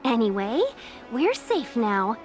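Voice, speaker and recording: High, lilting, courteous young fox woman. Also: big pitch swings, slow pace. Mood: apologetic, hurried. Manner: polite, formal, chatty. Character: courteous young fox woman, eager trade envoy and guide. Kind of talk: scripted video game dialogue. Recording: music behind the voice.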